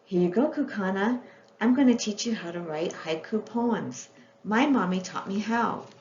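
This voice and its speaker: A woman voicing a gentle, polite and eager little girl from a children's storybook. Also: medium pitch, expressive, moderate pace, natural voice. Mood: patient, explaining. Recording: roomy, muffled, with faint background noise.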